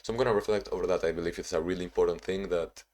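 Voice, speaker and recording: Low-voiced young man, rambling vlog talk. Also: steady tone, very fast, a little mellow. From Spain and Ukraine. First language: Spanish or Ukrainian. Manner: rambling, self-reflective, frequent restarts. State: tired from little sleep. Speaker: vlogger. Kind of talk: casual vlog talking to camera.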